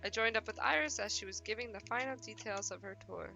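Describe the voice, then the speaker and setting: A woman's voice: mid-range voice, fast, vocal fry, sharp tone. A woman hosting a visual novel livestream, reading narration aloud and chatting casually. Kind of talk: narration read aloud and casual stream chat. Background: game music.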